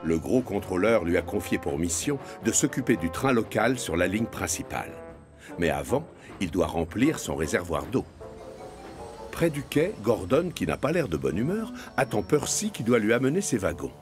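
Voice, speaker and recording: A man narrating a children's cartoon from a script, as an expressive storyteller. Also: lowish voice, moderate pace. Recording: music behind the voice.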